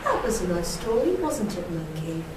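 A woman in her thirties telling a rhymed children's story in a prim, deliberate 1940s television presenter manner. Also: lowish voice, big pitch swings. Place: small bare brick-walled room indoors. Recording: echoey room, distant mic, noisy.

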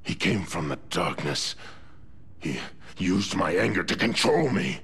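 Tormented beast, super deep raspy growl, slow, game character. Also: male voice, expressive, fairly bright tone, full character voice. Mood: remorseful. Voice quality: gravelly, husky growl. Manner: heavy, anguished, halting. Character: huge, brooding, tormented male beast prince.

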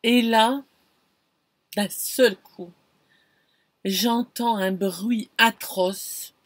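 A middle-aged woman vlogging from inside a camper van, telling an anecdote in an animated, expressive way and talking straight to the viewer. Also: fairly high pitch, very slow, no echo. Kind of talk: casual storytelling to camera. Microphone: camera close in front.

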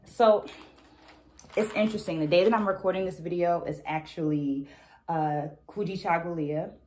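A middle-aged woman talking to camera about a book in a deliberate, articulate, explanatory manner. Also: on the low side, darkish tone. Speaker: educator and book reviewer. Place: small indoor room at home. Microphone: phone camera a short distance away.

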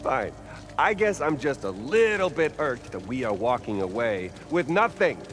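Loud music sits behind a wisecracking male game adventurer. His pitch is animated. He talks casually, with a scrappy, sarcastic edge. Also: moderate pace. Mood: irked. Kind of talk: video game cutscene acting.

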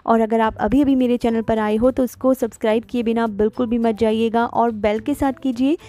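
Mellow-voiced woman hosting a home cooking lesson. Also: fairly high pitch, fairly even intonation, fairly quick. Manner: explanatory, guides viewer step by step. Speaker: cooking channel host. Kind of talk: cooking tutorial narration. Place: home kitchen.

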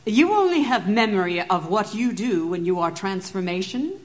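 A woman's voice: medium pitch, animated, normal speed, lightly acted. Woman acting an earnest, wary Gothic storyteller. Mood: wary, probing. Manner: formal, literary, carefully worded. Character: earnest, wary witness recounting a horror tale. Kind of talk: dramatic Gothic audio story narration and dialogue.

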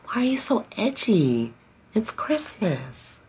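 A woman's voice: medium pitch, very animated, takes it slowly, slight background noise. An actress playing a direct, encouraging woman who draws people out, in a naturalistic film drama manner. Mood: challenging. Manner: direct, probing, reassuring. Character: direct, encouraging woman drawing out a troubled stranger.

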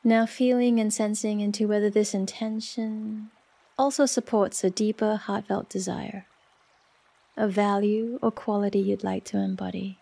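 A woman leading a guided relaxation meditation in a soft, soothing, unhurried manner with long pauses. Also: medium pitch, flat delivery, gravelly. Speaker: yoga nidra meditation guide.

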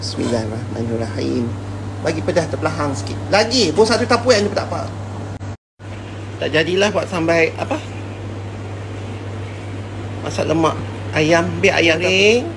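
A man's voice: fairly high pitch, animated, normal speed, vocal fry, long pauses. A man, chatting casually through a home cooking vlog and rambling off to the viewers. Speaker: home cook vlogger. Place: home kitchen. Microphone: phone camera held while cooking. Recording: noisy home phone recording.

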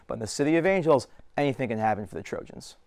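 A man's voice: mid-range voice, expressive, very fast, gravelly, a little mellow, no room sound. A young man delivering a scripted sports analysis segment in a studio. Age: young adult. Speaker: sports reporter.

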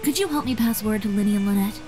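A woman's voice: mid-range voice, fast, close to an everyday voice. A woman voicing a blunt, composed young dressmaker in an anime game, with dry delivery. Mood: businesslike. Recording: music playing behind the voice.

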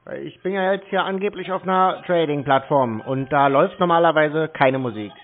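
Deadpan man on a tinny phone. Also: a little high, faint music behind. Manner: stubborn, deadpan, slow to cooperate. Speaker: prank call host, scambaiter. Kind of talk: casual phone chat. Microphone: phone call. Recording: thin, narrow telephone line.

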